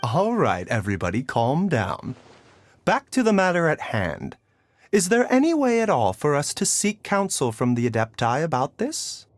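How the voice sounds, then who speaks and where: A man playing a calm, scholarly male healer in a video game, speaking with measured, formal courtesy. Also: a little high, faint music behind. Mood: composed.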